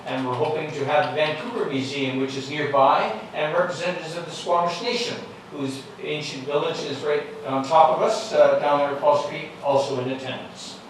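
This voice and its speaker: A man's voice: a little high, a little hiss or hum. A man councillor giving a plain, informative announcement at a meeting. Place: council chamber. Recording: echoey council chamber, far mic.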